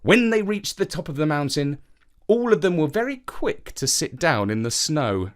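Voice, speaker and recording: A man narrating a children's story in an expressive storytelling manner. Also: a little high, normal speed.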